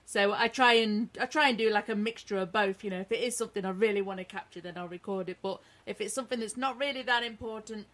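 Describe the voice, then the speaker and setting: A woman's voice: mid-range voice, fast talker, hardly any pauses, a bit bright. Casting: British woman host, easygoing chatty interviewer. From Britain. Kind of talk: casual interview chat.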